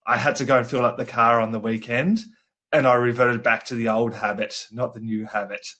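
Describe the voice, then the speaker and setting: Male leadership guest, bright, forward tone, anecdotal, laughs often. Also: mid-range voice, steady tone, brisk, highs cut off. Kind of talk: casual interview over a live video call.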